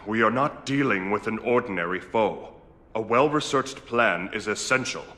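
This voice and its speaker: A man's voice: on the low side, expressive, moderate pace, sharp tone. A man playing a stern, scholarly advisor to a lord in a game, with formal, precise and grave delivery. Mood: grave, analytical. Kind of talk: video game cutscene acting. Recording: long hall reverb, music underneath.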